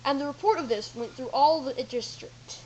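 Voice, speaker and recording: A woman's voice: sits high, normal speed, mellow, a little hiss or hum, natural voice, rough recording. A woman narrating scripted Bible stories for an audio drama.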